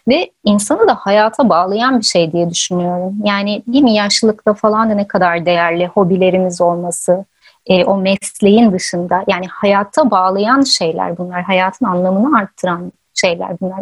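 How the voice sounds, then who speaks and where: A woman's voice: medium pitch, moderate pace, no room sound. A woman interview guest explaining her views conversationally, in an earnest, reflective way with emphatic repetition. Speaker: interview guest, author and researcher.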